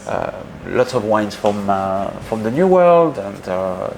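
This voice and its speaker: A man's voice: lowish voice, sing-song, slow, gravelly, a little mellow, slight background noise, low-quality recording. A man with a French accent chatting as an interview guest, telling anecdotes in a hesitant, self-deprecating way. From northeast France. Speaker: guest, wine educator.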